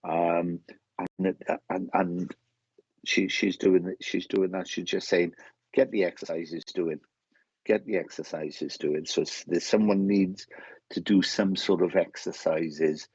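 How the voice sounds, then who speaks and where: A man working as a medium, giving a live spirit reading in a halting way, often repeating himself and restarting his sentences. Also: deep voice, fairly even intonation, a little mellow, breathy edge, no room sound, muffled recording.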